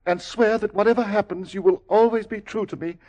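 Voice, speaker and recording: A man acts a nervous bridegroom in an old 1940s radio drama. His voice is unusually high for a man. He stammers and hedges, evasive yet urgent and pleading. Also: steady tone, brisk, a little mellow, lightly acted. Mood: insistent.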